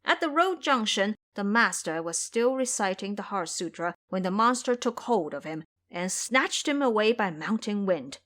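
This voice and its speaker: A woman narrates an adventure story in a formal, literary style. Her delivery is dramatic and expressive, with pitch that moves widely. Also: normal speed, hardly any pauses.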